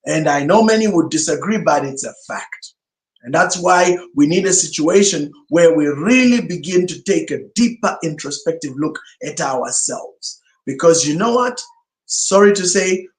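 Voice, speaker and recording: A male pastor whose first language is Swahili, giving an emphatic sermon-style teaching talk full of rhetorical questions. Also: sits high. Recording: roomy echo.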